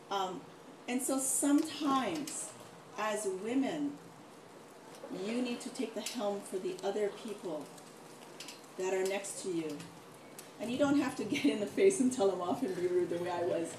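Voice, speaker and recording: A middle-aged woman speaking informally as a doctor on a panel, telling anecdotes in an animated way and acting out what people said. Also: medium pitch, normal speed. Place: large echoey room, event with audience. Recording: echoey, distant mic, noisy room.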